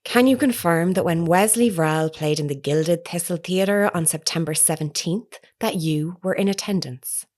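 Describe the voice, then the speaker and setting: An actress playing an earnest, persistent woman reporter in an audio drama, formal, measured and insistent in a naturalistic style. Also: lowish voice, darkish tone, natural voice. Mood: firm.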